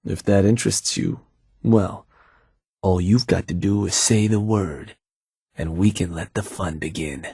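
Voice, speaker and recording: An actor playing a calm, seasoned male raider advisor who talks in an easygoing, folksy drawl. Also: low-pitched, a little slow, husky, no room sound, exaggerated voice. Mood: steady. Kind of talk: game voice acting. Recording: dry studio recording.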